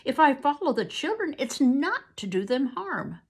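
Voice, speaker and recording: Woman doing a desperate young soldier begging for help, husky and lively. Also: a little high, a little slow, voice pushed up, heavily acted. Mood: pleading. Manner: pleading, earnest. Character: desperate young male Polish soldier captive.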